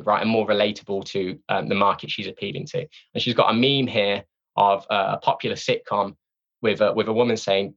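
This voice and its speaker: Man, interview guest, low pitch, gravelly fry, informal and self-deprecating, slightly dull recording. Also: fairly bright tone. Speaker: podcast guest, sales training company representative. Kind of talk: casual interview conversation.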